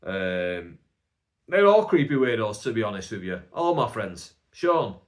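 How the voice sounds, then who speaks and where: A man's voice: on the low side, sing-song, unhurried. A young man with a Bolton accent, a stand-up comedian rambling through a casual, self-mocking solo podcast with lots of "like" fillers. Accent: Northern English, Bolton. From England.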